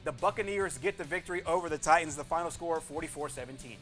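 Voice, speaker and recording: A man hosts a football score roundup with an emphatic announcer delivery. His voice sits a little high and moves very quickly, with faint music behind it. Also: fairly bright tone. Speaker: sports show host.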